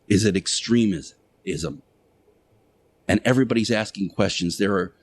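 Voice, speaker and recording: A man delivering a sermon-like radio monologue as a show host, speaking emphatically and preachily with deliberate rhetorical repetition. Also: fairly low pitch.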